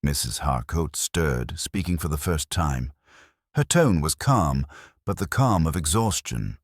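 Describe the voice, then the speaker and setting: Very deep, husky male mystery narrator. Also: lively intonation, measured pace, no room sound, clean studio sound. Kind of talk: scripted dramatic mystery narration.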